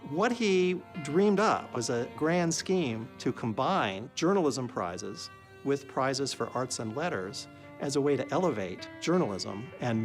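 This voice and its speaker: A man speaks in a middle register, explaining things as a documentary interviewee. Faint plucked guitar runs underneath his commentary. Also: mid-range voice.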